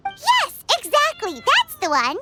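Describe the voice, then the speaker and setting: A woman voicing a tiny, excitable, childlike fairy companion with a squeaky voice in an anime game. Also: takes it slowly, exaggerated voice. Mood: eager. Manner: excitable, exclamatory. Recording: loud background music. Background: game music.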